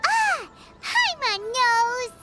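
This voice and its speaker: A woman voicing a tiny, excitable, childlike fairy companion girl in a video game, squeaky and exclamatory, bossy yet cheerful. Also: very slow, soft background music, exaggerated voice. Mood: thoughtful, playful. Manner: refers to herself in third person, exclamatory. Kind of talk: animated video game voice acting.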